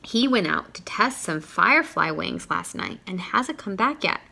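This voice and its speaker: A woman's voice: medium pitch, sing-song, a little slow, creaky, pushed and bright, exaggerated voice. A woman voicing a cheerful, helpful little shopgirl in a storybook, polite and chatty. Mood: explaining.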